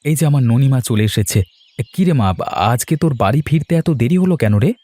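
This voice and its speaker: A man's voice: mid-range voice, animated, fast, mellow, dry recording, close to an everyday voice. A man voicing a homesick, henpecked husband and doting father in a cartoon, mildly grumbling. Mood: fond. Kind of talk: Bengali cartoon story acting.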